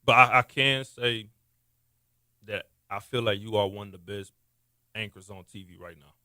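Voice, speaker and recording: A young man from Texas hosting a podcast, talking loosely and eagerly, often getting loud. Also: fairly low pitch, moderate pace, dry recording, studio quality. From Missouri City, Texas. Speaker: podcast host. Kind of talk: casual interview talk. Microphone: podcast studio mic.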